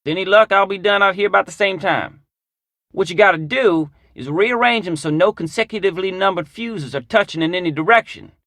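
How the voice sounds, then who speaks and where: An actor playing a folksy, drawling mechanic with a Southern US accent in a video game. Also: male voice, high voice, a little fast, sharp tone, dry recording, clean studio sound. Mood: instructive. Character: folksy drawling male mechanic, gruff but friendly. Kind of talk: video game character dialogue.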